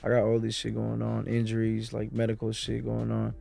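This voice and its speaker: A man's voice: fairly low pitch, monotone, a little fast, creaky, warm tone. A man who grew up in Mexico City, a music producer talking as a guest in a casual sit-down interview, full of street slang and "you know" asides.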